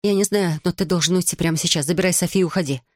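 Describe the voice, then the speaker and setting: A narrator voicing a brisk, commanding woman ally who speaks urgently in a thriller audiobook. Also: mid-range voice, dry recording, clean studio sound, lightly acted.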